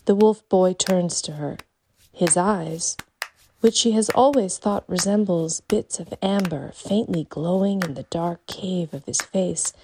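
A woman's voice: medium pitch, mellow, rough recording. A woman reading a literary short story as scripted narration. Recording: faint background noise.

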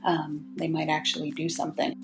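A woman's voice: low voice, monotone, a little fast, vocal fry, music in the background. A woman speaking as a writer and podcast guest, earnest and thoughtful in casual conversation.

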